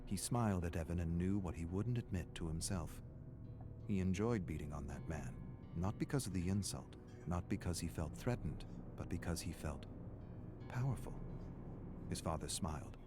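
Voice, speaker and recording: An actor playing a grim storyteller, reading a dark family tale for a video game in a solemn, deliberate, menacing way. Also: male voice, low-pitched, lively intonation, over music. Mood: ominous. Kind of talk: dramatic scripted third-person narration.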